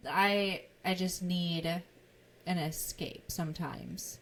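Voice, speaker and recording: A woman co-hosting a podcast, talking earnestly and eagerly in casual chat, with halting fillers. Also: on the low side, creaky. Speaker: podcast co-host.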